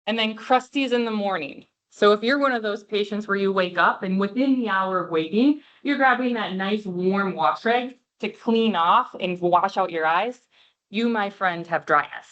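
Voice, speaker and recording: A woman's voice: medium pitch, moderate pace. A woman eye doctor giving a passionate health presentation to an audience, with a big voice, speaking away from the microphone. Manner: warm, passionate, clear explaining. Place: room with a live audience, talking away from the mic. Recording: dull lo-fi room recording.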